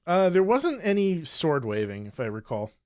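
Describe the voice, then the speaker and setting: A man's voice: a little high, wildly swooping pitch, normal speed, a little mellow, no room sound, clean studio sound. A man talking informally and casually as a podcast co-host. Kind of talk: casual chat.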